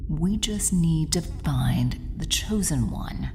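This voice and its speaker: Audio drama villain: a woman as a cold, scheming alien commander, theatrical, slow and deliberate, in long hall reverb over noise. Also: sits low, animated, heavily acted. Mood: brooding, scheming.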